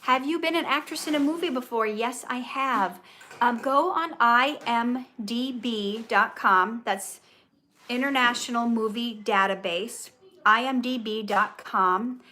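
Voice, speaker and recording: A woman's voice: on the high side, measured pace, pushed and bright. A middle-aged American woman from Minnesota chatting casually as a livestream host, speaking directly to viewers in an earnest, encouraging way. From Minnesota, United States. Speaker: livestream host, actress and coach. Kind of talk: casual live question-and-answer chat.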